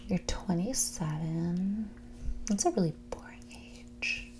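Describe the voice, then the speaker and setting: A woman playing a mean, condescending rich young woman on a first date in a whispered, soft-spoken ASMR roleplay, with a bored drawl. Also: fairly low pitch, animated, slow. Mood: bored disdain. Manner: condescending, drawling, bored, valley-girl filler "like".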